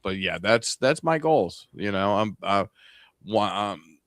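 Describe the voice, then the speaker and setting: A man's voice: lowish voice, expressive, takes it slowly, a bit bright, dry recording, studio quality. A man with an upstate New York accent chatting casually on a ham radio show, rambling along with plenty of ums and restarts. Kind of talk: casual chat.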